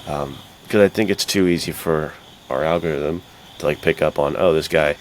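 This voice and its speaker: Extremely deep-voiced man in earnest chat. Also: moderate pace, a little hiss or hum. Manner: earnest, informal. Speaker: podcast co-host. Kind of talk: casual podcast chat.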